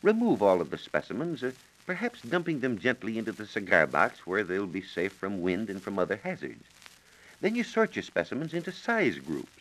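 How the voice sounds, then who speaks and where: An American man giving measured, precise instructions as a science reporter on a scripted 1940s radio program. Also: fairly low pitch, very animated, fairly bright tone, poor audio. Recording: 1940s radio broadcast recording.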